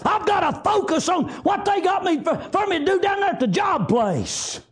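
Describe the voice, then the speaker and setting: A man's voice: very high-pitched, animated, normal speed, shouting, pitched above the performer's own voice. A man with a Southern US accent voicing a self-important churchgoer who insists on earnest excuses in a sermon anecdote. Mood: insistent excusing. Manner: insistent, repetitive, protesting.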